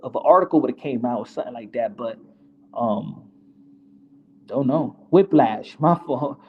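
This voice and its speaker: A man's voice: mid-range voice, lo-fi sound, a little hiss or hum. A native Spanish-speaking man casually hosting a boxing chat in slangy, informal talk, joking and stumbling over and repeating his words. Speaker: host of a boxing commentary show.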